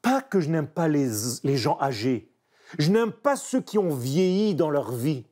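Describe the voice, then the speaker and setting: A man, a painter speaking French as a second language, reflecting emphatically in an interview. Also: on the high side. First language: not French. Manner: animated, emphatic, searching pauses. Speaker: painter guest.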